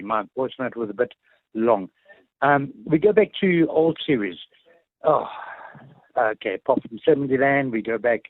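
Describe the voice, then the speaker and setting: A man's voice: mid-range voice, no echo. A man calling in to a radio show, rambling and hesitant with chuckles. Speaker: radio caller. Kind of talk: phone-in chat. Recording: tinny phone line.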